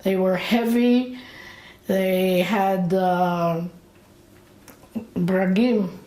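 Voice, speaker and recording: A woman in her late eighties being interviewed about her memories. She talks haltingly, with many fillers and pauses. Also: medium pitch, steady tone, warm tone. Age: late 80s. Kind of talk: slow, reflective memories. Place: indoors. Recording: roomy echo.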